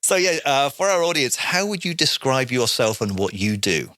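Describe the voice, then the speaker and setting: A man's voice: medium pitch, very animated, normal speed, sharp tone. A man hosting a podcast, jokey and informal, laughing often and calling people mate. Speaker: podcast host. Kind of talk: casual podcast interview.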